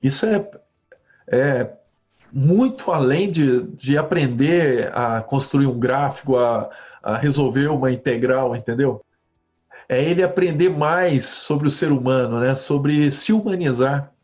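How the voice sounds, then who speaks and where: Brazilian professor telling classroom stories. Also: male voice, mid-range voice, a little slow, soft background music. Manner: animated storytelling with frequent "né?" tags. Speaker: guest professor. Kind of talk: conversational interview answers. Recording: slightly dull lo-fi audio.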